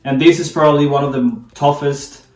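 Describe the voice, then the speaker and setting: A man's voice: on the high side, level tone, a little fast, darkish tone. A man from Colombia, a Spanish speaker, hosting a casual explainer video about a sport. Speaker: video host explaining soccer. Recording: echoey room, distant mic, background music.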